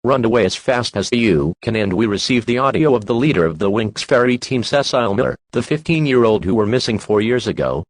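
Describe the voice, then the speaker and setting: A man's voice: mid-range voice, no room sound, poor audio. A man playing a formal, impersonal announcer reading a scripted emergency alert. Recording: very loud broadcast noise.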